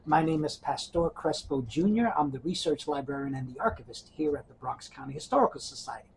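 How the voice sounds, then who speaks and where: A man's voice: fairly high pitch, expressive, a little fast, gravelly, a little mellow, a little hiss or hum, rough recording. A man working as an archivist, conducting an oral history interview in a friendly, encouraging way. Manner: friendly, encouraging, with many "you know"s.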